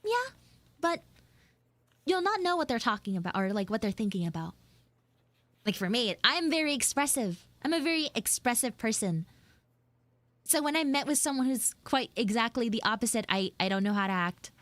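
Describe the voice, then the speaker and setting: A woman voicing an expressive, huffy tsundere frog girl in a live audio roleplay, emphatic and a bit bossy. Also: fairly high pitch, normal speed, dry recording. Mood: puzzled, expressive.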